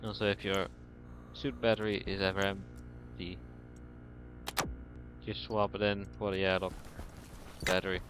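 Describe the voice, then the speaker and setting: A man giving casual gameplay tutorial commentary, calmly talking through each step as he plays. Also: low voice, a little slow, long pauses, over music. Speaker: gaming tutorial creator. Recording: clear background noise under voice.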